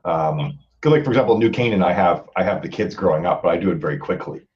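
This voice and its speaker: A man with a very deep voice chats casually as a podcast host. He talks quickly and at length, rambling from point to point. The sound is slightly dull. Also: expressive, a little mellow. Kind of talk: casual group podcast chat.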